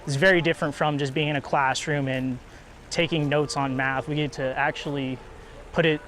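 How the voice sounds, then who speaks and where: A man's voice: on the high side, steady tone, moderate pace, a bit bright. A male student team member answering interview questions, plain-spoken and enthusiastic.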